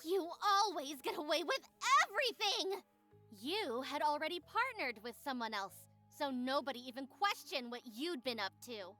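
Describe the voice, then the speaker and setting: A stubborn, sharp-tongued teenage magical girl played by a woman, with a high, very bright voice. She sounds indignant and accusing in visual novel style, over faint music. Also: animated, normal speed. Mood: indignant, resentful. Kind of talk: visual novel character acting.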